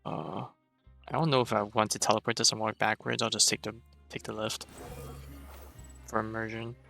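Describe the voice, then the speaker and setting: A man's voice: lowish voice, level tone, normal speed, vocal fry, sharp tone, soft background music. A man giving casual, offhand commentary while playing a video game, thinking aloud as he goes. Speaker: streamer.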